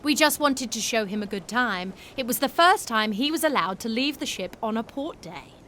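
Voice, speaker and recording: Bold, high-voiced seafaring woman. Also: lively intonation, moderate pace, sharp tone, slight background noise. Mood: storytelling, merry. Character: bold, playful, flirtatious seafaring woman, a storyteller. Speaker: actor playing a seafaring woman. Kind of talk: period audio drama acting.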